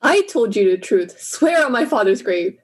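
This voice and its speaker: A woman's voice: a little high, sing-song, normal speed. A woman playing a defensive, protective spaceship crew member in a comic audio drama, insistent in manner.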